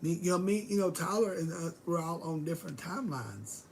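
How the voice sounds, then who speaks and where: A middle-aged woman speaks with a hoarse, gravelly voice that sometimes fades to a whisper. She rambles casually and swears now and then as she talks to live viewers from her bedroom. A faint noise hums behind her. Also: fairly low pitch, lively intonation, brisk. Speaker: vlogger greeting live viewers. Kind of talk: rambling casual livestream chat.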